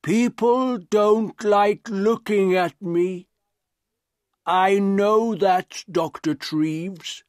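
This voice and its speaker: A man voicing a gentle, sad, humble deformed man in an audiobook, with very slow, halting, laboured speech. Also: high-pitched, no echo, clean studio sound, voice pushed up. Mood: sad, resigned. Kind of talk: audiobook character dialogue.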